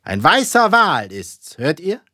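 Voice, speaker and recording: A man voicing a fanatical, commanding whaling captain in a loud, declamatory storybook reading. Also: a little high, very animated, takes it slowly, no echo, clean studio sound, voice pushed up. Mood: urgent, fierce. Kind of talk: dramatic audiobook character reading.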